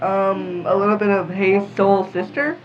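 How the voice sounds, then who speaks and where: A woman's voice: medium pitch, flat delivery, a little slow, soft background music. A teenage girl chatting casually as a guest on a talk show. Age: teens. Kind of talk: casual chat. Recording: dull lo-fi audio.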